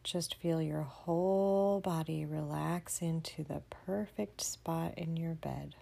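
A woman leading a children's bedtime meditation in a soothing, gentle manner, often dropping to a breathy near-whisper. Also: deep voice, steady tone, slow pace. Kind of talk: guided bedtime meditation for kids.

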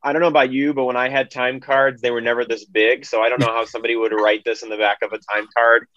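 A man's voice: medium pitch, little pitch movement, quick pace, a bit bright, dry recording, muffled recording. A man speaking as a podcast panelist, giving informal analytical commentary in an explanatory, conversational way.

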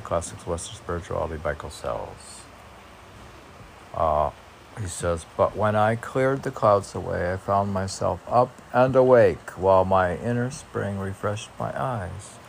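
A man reading poems aloud informally as a poet, with halting, musing asides between the lines. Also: deep voice, gravelly, slight background noise, low-quality recording.

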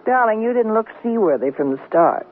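A woman's voice: fairly high pitch, animated, fairly quick. An actress playing a teasing, flirtatious single woman in an American radio comedy, with an arch, theatrical delivery. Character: flirtatious, teasing, sharp-tongued single woman who owns a boat. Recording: muffled noisy off-air radio recording.

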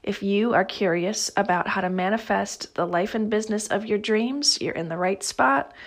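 A woman's voice: medium pitch, normal speed. A woman hosting a podcast, opening the show and introducing a guest in an upbeat, friendly way. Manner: upbeat and friendly, speaking straight to listeners. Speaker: podcast host.

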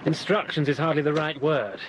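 A grudging boatman in a radio play, high voice for a man, swings up n down, water noise behind. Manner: curt, evasive, grudging. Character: wary, grudging hired boatman with a surly streak. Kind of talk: BBC radio drama acting, period crime serial. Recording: noisy boat and water sound effects. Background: boat and water sounds.

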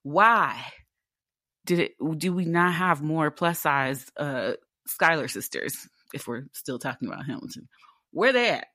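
A woman in her twenties with a deep alto voice, talking candidly as a theatre actor in a casual interview, animated and full of rhetorical questions. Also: measured pace, dry recording, clean studio sound. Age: 20s.

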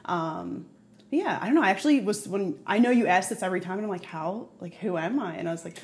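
A woman in her thirties chatting as a podcast guest, relaxed and laughing easily. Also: mid-range voice, fast talker. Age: 30s. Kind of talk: casual podcast chat. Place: house where the host is dog sitting.

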